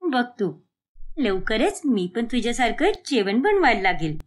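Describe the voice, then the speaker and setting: A woman playing a cheeky, whiny young adult daughter in an animated moral story, complaining and protesting. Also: on the high side, unhurried. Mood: placating.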